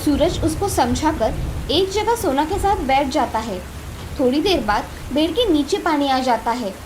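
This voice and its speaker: A woman narrating a scripted moral story as a storyteller. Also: sits high. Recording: clearly noisy, with ambience behind.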